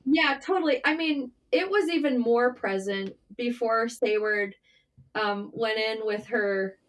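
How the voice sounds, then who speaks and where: A woman's voice: fairly high pitch, measured pace, low-quality recording. A woman talking casually and candidly as an interview guest, telling stories in an informal, mildly sweary way. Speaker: interview guest, writer and journalist. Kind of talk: casual interview conversation, storytelling.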